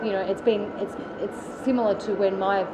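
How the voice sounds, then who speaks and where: A woman's voice: mid-range voice, fairly even intonation, normal speed. Chatty Australian woman, noisy recording. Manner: chatty, many fillers and restarts. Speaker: actress interviewee. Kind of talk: casual interview answers. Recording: loud background noise.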